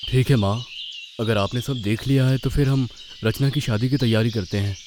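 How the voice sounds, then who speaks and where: A man's voice: medium pitch, steady tone, moderate pace, noisy background, lightly acted. A man voicing an agreeable, dutiful son in a moral story. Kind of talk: acted dialogue in a moral story.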